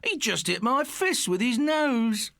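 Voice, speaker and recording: A man's voice: very high-pitched, big pitch swings, slow, creaky, fairly bright tone, dry recording, studio quality, pitched above the performer's own voice, exaggerated voice. A man voicing a sneering, bossy schoolboy bully in an audiobook ghost story. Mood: gloating. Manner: taunting, bossy. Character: cocky sneering schoolboy bully, mockingly jovial.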